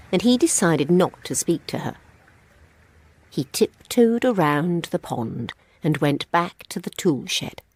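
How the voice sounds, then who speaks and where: A woman narrating a scripted fairy tale for toddlers in an expressive, simple storybook manner. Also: deep voice, slightly rough. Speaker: children's storyteller.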